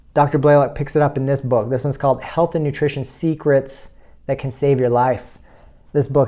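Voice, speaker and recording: A man's voice: medium pitch, dark tone. A man in his late thirties giving an earnest, informal health talk to his viewers. He urges them on and reels off long lists of items. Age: 30s. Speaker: health video host.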